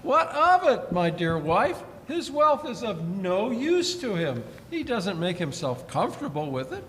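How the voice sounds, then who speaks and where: Man playing a high-voiced, jovial young gentleman. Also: big pitch swings, measured pace, heavily acted. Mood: forgiving, earnest. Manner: hearty, good-humoured. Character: jovial, forgiving young gentleman, a hearty party host. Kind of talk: 1940s radio acting. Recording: reverberant hall, faint noise.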